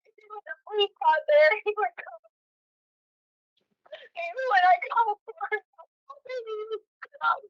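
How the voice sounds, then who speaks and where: A woman's voice: extremely high pitch, lively intonation, measured pace, long pauses, fairly bright tone, no echo. A distraught woman crying on a private phone call, pleading and explaining in broken, wailing phrases. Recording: dull lo-fi phone call recording.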